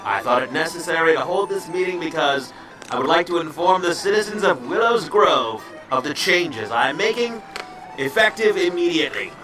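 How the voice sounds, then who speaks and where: A man's voice: a little high, animated, normal speed, lightly acted. A man playing a pompous, theatrical small-town mayor making a formal public speech in an amateur audio drama. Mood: self-satisfied. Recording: echoey, distant, with music behind.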